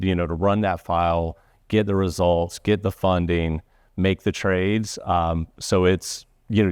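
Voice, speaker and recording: A man speaking informally as a guest professional, halting and rephrasing as he goes. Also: low voice, darkish tone, dry recording, studio quality. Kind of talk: informal first-hand account.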